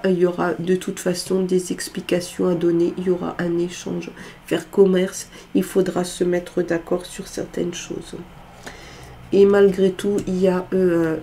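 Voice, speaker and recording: A woman's voice: lowish voice, mellow, a little hiss or hum. A woman with a cold reading tarot cards in a casual, hesitant way, with lots of filler sounds. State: has a cold, congested voice. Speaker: tarot card reader.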